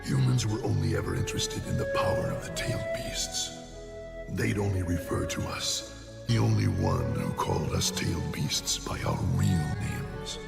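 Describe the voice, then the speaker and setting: A man voicing an ancient giant fox spirit in a dubbed anime, with a gruff growl and a wry, resigned, weighty delivery. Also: wildly swooping pitch, normal speed, full character voice. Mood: brooding, reflective. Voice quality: deep gravelly growl. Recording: cavernous reverb under loud music.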